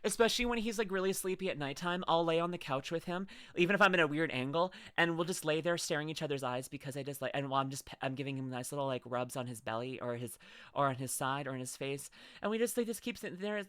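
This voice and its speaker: A woman's voice: on the low side, fairly bright tone, no room sound, clean studio sound. A young woman streaming from home, chatting casually and gushing effusively, with many restarts. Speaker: streamer reacting to videos. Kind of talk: casual live-stream chat.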